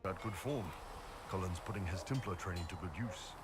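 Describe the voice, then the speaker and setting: A man's voice: deep voice, brisk, close to an everyday voice. A man voicing a brash, easygoing horned warrior who leads mercenaries in a video game, speaking in a casual, blunt, confident way. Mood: relaxed, serious. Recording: game audio with clear background music.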